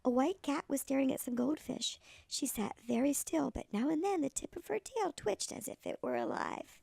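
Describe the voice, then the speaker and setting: Woman as a tiny granny with a high, creaky voice. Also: sing-song, moderate pace, darkish tone, dry recording, exaggerated voice. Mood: storytelling. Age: older. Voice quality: creaky, gravelly. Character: tiny granny, a little old lady storyteller. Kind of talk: expressive children's storybook reading.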